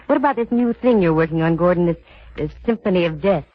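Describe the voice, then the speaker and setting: An actress playing a wry, poised, quick-witted lady private eye in 1940s radio detective style. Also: fairly low pitch, lively intonation. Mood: cool, probing. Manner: wisecracking, poised. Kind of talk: 1940s radio detective drama acting, with narration. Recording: tinny, noisy old radio recording.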